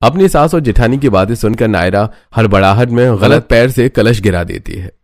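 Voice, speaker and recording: A man with a low voice narrates a family cartoon story. The delivery is scripted storytelling. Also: animated, normal speed, no echo, clean studio sound.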